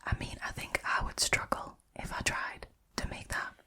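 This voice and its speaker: A woman speaking in a breathy whisper, telling short stories and chatting casually about them in a relaxed ASMR style. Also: moderate pace. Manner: informal, chatty, amused. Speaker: host reading and reacting to short stories.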